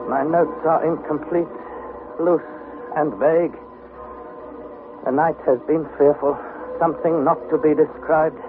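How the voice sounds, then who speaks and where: A narrow, tinny vintage radio recording carries an anxious young explorer with a high-pitched voice. He narrates his expedition journal with earnest, literary intensity, in the dramatic style of an adventure serial. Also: noisy background. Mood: weary, grim.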